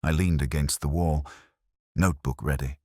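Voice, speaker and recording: A man narrating a detective story in a dramatic, husky voice. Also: rumbling low, fairly even intonation, moderate pace, a little mellow, no echo, studio quality. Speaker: audiobook narrator.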